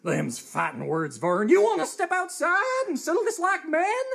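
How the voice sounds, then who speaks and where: An actor playing a blustery, stubborn, excitable old man with folksy talk in old-time radio comedy. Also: very high-pitched, moderate pace, vocal fry, heavily acted. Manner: folksy, swooping, argumentative.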